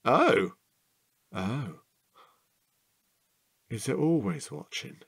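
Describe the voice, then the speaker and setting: An actor playing a chatty, childlike, curious man who is a bit slow-witted, in a playful comedic audio drama. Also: mid-range voice, husky, full character voice. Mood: playfully challenging. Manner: playful, excitable, drawn-out delivery.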